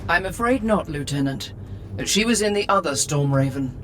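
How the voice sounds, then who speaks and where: An actress playing a proud warrior nun soldier in a video game, speaking in a cool, wry manner. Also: female voice, lowish voice, expressive, normal speed, fairly bright tone, recorded from a distance, slight background noise. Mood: coolly wry. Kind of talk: game cutscene acting.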